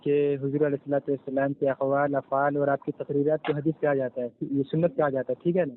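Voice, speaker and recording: A man's voice: medium pitch, level tone, fast talker, a little hiss or hum. A man debating religion on a phone call, persistently pressing questions and repeating himself. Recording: tinny phone call recording.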